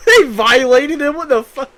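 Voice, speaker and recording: A man chatting casually with friends over a game, excitable, giggly and quick to swear. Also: very high-pitched. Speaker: let's play gamer. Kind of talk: casual chat with friends while gaming.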